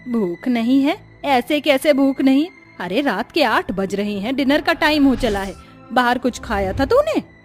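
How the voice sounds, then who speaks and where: A woman's voice: sits high, expressive, measured pace, soft background music. A woman voicing a rich middle-aged mother-in-law in a cartoon story, bossy and disapproving. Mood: concerned. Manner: complaining, bossy. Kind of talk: Hindi cartoon story acting.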